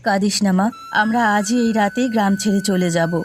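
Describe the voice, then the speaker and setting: A woman's voice: medium pitch, fairly even intonation, fairly quick, darkish tone, natural voice. Woman acting a tender, long-suffering village mother. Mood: sorrowful, consoling. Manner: tender, consoling. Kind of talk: Bengali fairy-tale cartoon voice acting. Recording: music under the voice.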